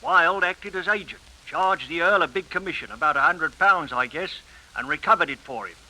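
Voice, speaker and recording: A resentful London crook, bargaining for himself. His voice sits high and cuts very sharply. The sound is an old 1930s radio drama broadcast. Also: normal speed, sharp tone, low-quality recording. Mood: resentful, cagey. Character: bitter, bargaining male London criminal turned informer.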